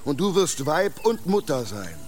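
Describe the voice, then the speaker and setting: Menacing, coaxing beast in human guise. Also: male voice, on the high side, sing-song, darkish tone, soft background music. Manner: slow insinuating threats and coaxing, echoes own words. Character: menacing beast-creature posing as a dead husband, coaxing, domineering. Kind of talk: German radio drama acting.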